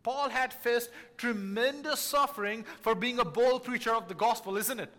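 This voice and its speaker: A man's voice: very high voice, moderate pace, sharp tone, in a large hall. A man from Nepal, preaching an earnest sermon as a missionary and repeating key phrases for emphasis.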